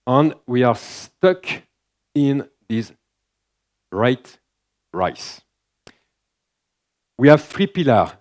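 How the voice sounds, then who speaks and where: A man's voice: mid-range voice, big pitch swings, extremely slow, long pauses, a little mellow. A man from France with a French accent, speaking English as a second language, giving a conference keynote with frequent fillers and restarts. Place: large conference hall stage.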